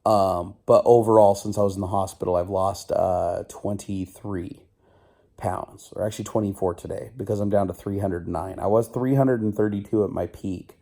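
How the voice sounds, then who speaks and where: Low, dark-voiced man, a bit hoarse. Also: fairly even intonation, normal speed. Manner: rambling, self-mocking, loud. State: slightly rough, recovering voice; stuffy nose. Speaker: vlogger. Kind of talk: casual video diary.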